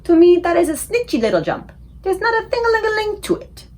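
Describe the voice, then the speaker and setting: Woman voicing a quirky, lively storybook giant, confident. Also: extremely high pitch, brisk, voice pushed up, exaggerated voice. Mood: bold, amazed. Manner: folksy ungrammatical speech with invented words. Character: big friendly giant, male, gentle, confident, quirky. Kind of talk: children's book character voice.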